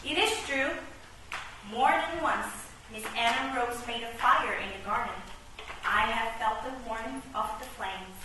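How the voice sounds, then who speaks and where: A woman's voice: on the high side, unhurried, far from the mic, close to an everyday voice. A woman playing a curious, critical, sassy chess castle with a wry, theatrical delivery in a comic radio play. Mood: earnest, critical. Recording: echoey, faintly noisy stage recording from afar.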